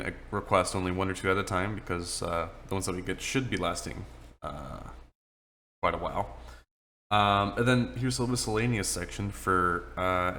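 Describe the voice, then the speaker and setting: A man's voice: low-pitched, bright, forceful tone, slight background noise. A man walking staff through an office supply order form in a casual, joking way, with plenty of ums and uhs. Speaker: office trainer. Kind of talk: screen-recorded training walkthrough.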